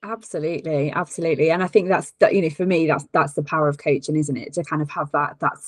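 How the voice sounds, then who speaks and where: A woman's voice: low voice, steady tone, fast talker, no room sound. A woman hosting a podcast interview, friendly and affirming toward her guest. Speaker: podcast host.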